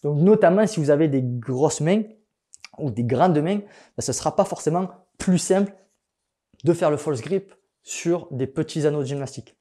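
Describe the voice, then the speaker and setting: Joking French fitness coach, mellow voice. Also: male voice, a little high, animated, normal speed. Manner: joking, chatty, laughs at himself, many fillers. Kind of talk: casual instructional vlog.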